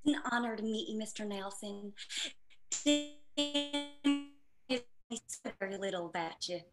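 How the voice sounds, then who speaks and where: A woman's voice: medium pitch, animated, measured pace, loud music behind the voice. An actress in her thirties playing a chipper, tactless young girlfriend in a table read over a video call. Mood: chipper, naive.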